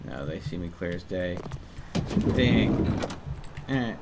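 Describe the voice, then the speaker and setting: A man's voice: sits low, lively intonation, slow, very noisy. A man streaming a video game, thinking aloud in a casual way with mild frustration. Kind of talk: casual live gameplay commentary.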